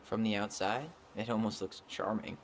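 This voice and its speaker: A young man with an American accent playing a gentle, sentimental character in a video game cutscene, casual and earnest. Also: fairly low pitch, steady tone, moderate pace, natural voice. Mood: wistful. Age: young adult. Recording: noisy game stream capture with ambience.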